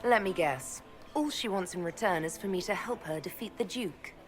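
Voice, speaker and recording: An actress playing a composed, diplomatic elf mage heroine in a video game, speaking in a measured, polite, wary way. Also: female voice, mid-range voice, expressive, lightly acted. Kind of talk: video game cutscene acting. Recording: music clearly behind the voice.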